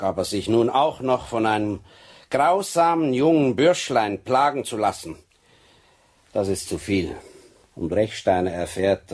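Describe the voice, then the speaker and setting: A methodical police sergeant in a radio crime drama. His voice is low and husky. He lays things out step by step, deliberate and insistent. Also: a little mellow. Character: methodical Swiss police sergeant laying out a case.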